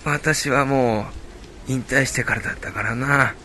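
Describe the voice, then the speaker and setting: A man playing a kindly, concerned retired stroke patient in an amateur radio drama, with gentle turns of phrase. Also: medium pitch, unhurried, slight background noise.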